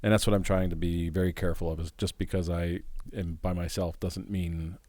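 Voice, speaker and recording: A man's voice: low-pitched, little pitch movement, a little fast, warm tone, no echo. A middle-aged man co-hosting a podcast, agreeable and reflective in casual chat. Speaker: podcast co-host.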